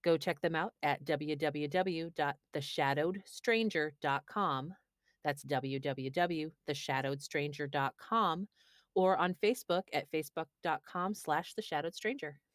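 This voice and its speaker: A woman with a low voice, froggy from illness, reads a prepared announcement for a show. The sound is close and dry. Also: a little slow, dry recording. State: froggy voice from being sick. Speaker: show regular reading a shout-out. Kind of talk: read-out announcement.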